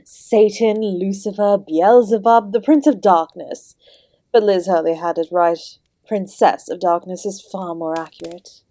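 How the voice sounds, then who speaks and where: A woman acting as the Devil herself, an ancient and charming Princess of Darkness in an audio drama. She speaks at a medium pitch with genteel, theatrical courtesy and a sardonic, teasing edge. The sound is dry and intimate, addressed straight to one listener. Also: steady tone, a little slow, warm tone, dry recording, close to an everyday voice. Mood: amused, proud. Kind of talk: scripted audio roleplay, addressing the listener directly.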